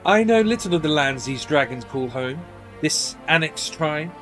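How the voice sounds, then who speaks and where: A man reading as an earnest, courtly fantasy adventurer with formal, measured, archaic speech. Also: fairly high pitch, expressive, fairly bright tone, soft background music. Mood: earnest, polite.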